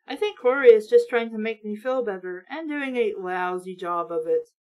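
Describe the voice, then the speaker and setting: A woman's voice: medium pitch, lively intonation, normal speed, a little mellow, voice pushed down, lightly acted. A woman reading the part of a self-pitying, insecure twelve-year-old schoolgirl who narrates a storybook in an aggrieved way. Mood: glum.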